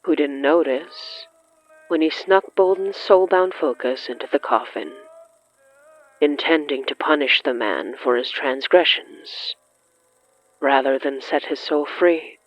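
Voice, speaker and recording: A narrator reading dramatic horror fiction in a measured, halting way with weighty pauses. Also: medium pitch, lo-fi sound. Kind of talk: slow, dramatic scripted narration.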